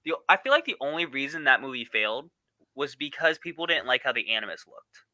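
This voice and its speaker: A man on a podcast panel explaining things casually in a halting, meandering way. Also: medium pitch, lively intonation, sharp tone, no echo.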